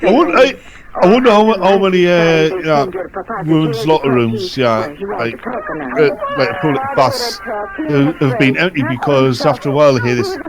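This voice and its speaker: A man's voice: a little high, expressive, normal speed, over a noisy crowd, low-quality recording. A man co-hosting a comedy podcast, riffing casually in a teasing, crude way, with other voices and old radio playing alongside. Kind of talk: comic riffing, casual chat.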